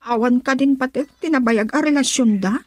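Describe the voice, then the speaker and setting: An inquisitive young Filipino woman in a radio drama. Her voice is high-pitched, with an anxious, polite manner and a dry, close sound. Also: a little fast, darkish tone, no room sound. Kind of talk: Ilocano radio drama acting.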